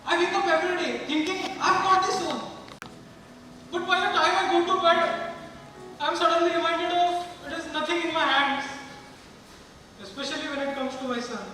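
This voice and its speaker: Man playing a high-voiced worried hospital nurse, very echoey. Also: moderate pace, long pauses, pushed and bright, recorded from a distance, a little hiss or hum. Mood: anxious. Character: worried hospital nurse and parent, chatty and caring. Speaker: amateur stage actor. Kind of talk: live stage play acting. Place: stage in a large hall. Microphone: distant microphone. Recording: very echoey hall from afar, music.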